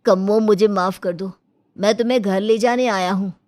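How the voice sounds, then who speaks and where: A woman's voice: mid-range voice, fairly even intonation, moderate pace, a little mellow, close to an everyday voice. A woman voicing a troubled, apologetic village husband in a cartoon story, pleading earnestly. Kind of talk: cartoon moral story dialogue.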